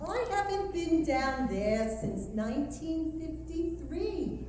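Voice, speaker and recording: A woman's voice: high voice, expressive, far from the mic. Woman acting a flustered old lady, echoing hall. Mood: scandalized, dismissive. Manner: halting, scandalized, emphatic, with asides. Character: reticent, embarrassed old woman in her seventies, reminiscing. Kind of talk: theatrical stage monologue. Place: live stage performance at a university. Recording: echoey hall from afar, noisy.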